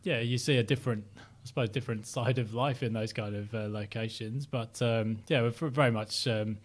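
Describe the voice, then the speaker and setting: A middle-aged man from Sheffield with a softened northern English accent, talking as an interview guest, reflective and full of ums and restarts. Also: mid-range voice, steady tone, brisk. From Sheffield, South Yorkshire, England. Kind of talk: casual interview chat.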